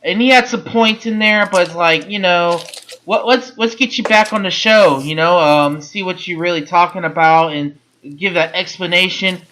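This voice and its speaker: A man's voice: high voice, moderate pace, sharp tone, poor audio. A man hosting a casual sports podcast, talking loosely and repeating words as he goes. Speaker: podcast host.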